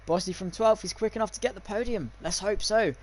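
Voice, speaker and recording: High-pitched young man commentating on sim racing. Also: brisk, rough recording. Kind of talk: live esports race commentary with casual chat.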